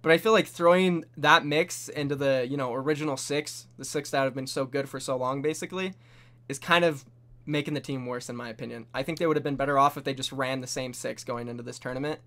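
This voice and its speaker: A young man hosting a casual esports prediction chat, animated and full of bold, hype-driven takes. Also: mid-range voice, fast. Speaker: host.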